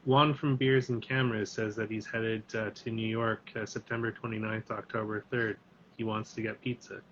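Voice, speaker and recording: A man's voice: lowish voice, flat delivery, normal speed, a bit bright. A man hosting a casual interview chat, easygoing, who reads out viewer questions. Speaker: host. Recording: lo-fi video call audio with faint noise.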